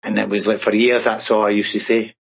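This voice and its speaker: A man's voice: fairly low pitch, little pitch movement, a little fast, gravelly, husky, highs cut off. A man in his fifties with a Glaswegian accent, telling his life story as an interview guest in a chatty way, often adding "right?". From Scotland. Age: 50s. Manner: chatty, peppers speech with "right?".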